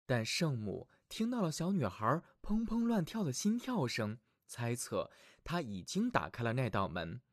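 Animated male children's storyteller. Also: medium pitch, normal speed, few pauses, dry recording, clean studio sound. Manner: expressive, addresses child listener affectionately. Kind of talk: scripted fairy-tale narration for small children.

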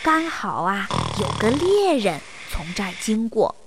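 A woman narrating a fairy tale for children in an expressive storytelling manner. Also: a little high, measured pace, in a large hall, very noisy. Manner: expressive, spoken to young listeners with sentence-end particles. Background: music.

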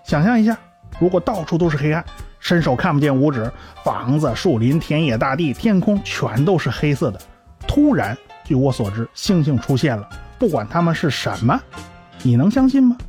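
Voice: mid-range voice, very animated, normal speed, darkish tone, soft background music, natural voice. An actor in a radio drama playing an intense, alarmed expert who explains a coming doom with emphatic rhetorical questions. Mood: intense, urgent.

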